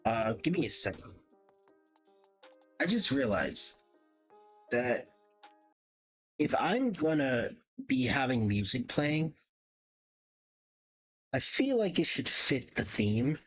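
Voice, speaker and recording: A man's voice: medium pitch, big pitch swings, slow, pauses often. A man giving casual livestream commentary, thinking aloud hesitantly and often correcting himself as he goes. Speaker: livestream host. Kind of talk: casual livestream commentary, ranking game characters. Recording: dull lo-fi mic, music behind. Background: background music.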